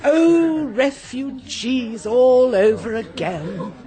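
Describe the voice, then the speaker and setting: An actress playing a brisk, opinionated Englishwoman with a British accent in a radio drama, emphatic and chatty. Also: fairly high pitch, mellow. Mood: exasperated.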